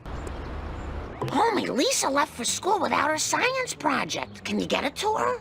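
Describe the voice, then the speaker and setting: An actress voicing an earnest, nagging, motherly housewife in a cartoon game, with a raspy voice and an American accent. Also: high voice, huge pitch swings, a little slow, a bit bright, a little hiss or hum, exaggerated voice. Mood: gently nagging. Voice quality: raspy, gravelly. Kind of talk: animated comedy game voice acting.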